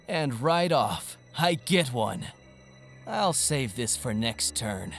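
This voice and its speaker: An actor playing an arrogant, gloating young male card-game villain in an anime-style audio drama, theatrical and taunting, swinging from sly murmurs to shouts. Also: mid-range voice, big pitch swings, very slow, soft background music, exaggerated voice. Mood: smug, scheming.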